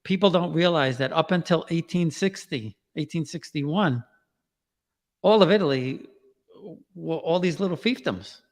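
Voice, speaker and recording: A man's voice: fairly high pitch, measured pace, in a large hall. A man with a New York Queens accent, telling stories in an easygoing way in casual conversation. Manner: storytelling, easygoing, chuckles often. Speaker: podcast host and author. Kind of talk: casual interview chat.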